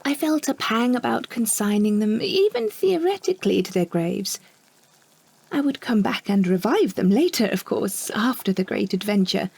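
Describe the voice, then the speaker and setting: A woman performing an audio drama monologue as an older woman wryly recalling her childhood, in a measured, precise, slightly husky voice with deliberate pauses. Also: on the high side, a little mellow, exaggerated voice. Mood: wistful, confiding. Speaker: monologue narrator. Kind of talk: scripted first-person audio drama narration.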